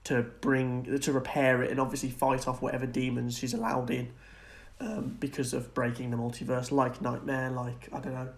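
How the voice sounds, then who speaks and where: Man, medium-pitched voice, podcast co-host, casual screen discussion, earnest, speculative. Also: level tone, normal speed.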